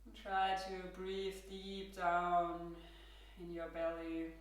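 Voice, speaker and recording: Slow, gentle Austrian-accented woman yoga teacher. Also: fairly low pitch, distant mic. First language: German. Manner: calm, gentle cueing with soft laughs. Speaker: yoga instructor. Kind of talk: guided yoga class. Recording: roomy echo, from across the room.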